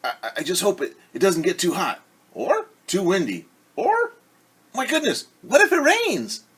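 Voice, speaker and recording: A man's voice: high voice, wildly swooping pitch, moderate pace, fairly bright tone, husky, voice pushed up, full character voice. A man voicing an anxious, easily panicked female hen in a storybook, with worried, exclaiming delivery. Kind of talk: storybook character voice.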